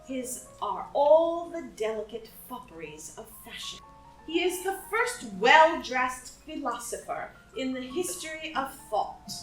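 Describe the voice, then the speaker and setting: A woman's voice: high-pitched, sing-song, unhurried. A woman reading stage directions as scripted narration for a play. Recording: echoey room, distant mic, music.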